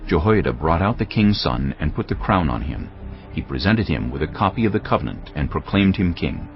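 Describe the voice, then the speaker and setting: A man with a very deep voice narrates scripture in a solemn, measured way. His pitch moves expressively through the dramatic reading, over background music. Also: very animated. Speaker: Bible narrator. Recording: dull, lo-fi audio with music.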